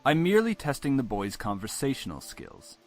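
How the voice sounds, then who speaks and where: A man's voice: mid-range voice, huge pitch swings, faint music behind. Man acting cold, stern father with sweeping intonation. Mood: cold, probing. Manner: stern, measured, condescending. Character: cold, intimidating, testing wealthy father. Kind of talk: visual novel game acting.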